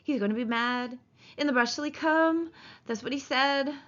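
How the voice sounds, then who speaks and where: A woman's voice: high voice, moderate pace, fairly bright tone, highs cut off, pitched above the performer's own voice. A woman voicing a big, simple-minded man in an audiobook, childlike and fearful of trouble, with rustic, ungrammatical speech. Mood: anxious, bewildered. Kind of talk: audiobook character voice.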